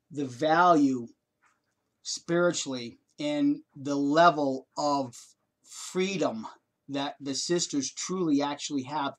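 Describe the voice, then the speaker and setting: An older man talking earnestly and directly to listeners in a casual home video chat, recorded on a webcam. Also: on the high side. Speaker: co-host. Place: home room, indoors. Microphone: webcam video call framing.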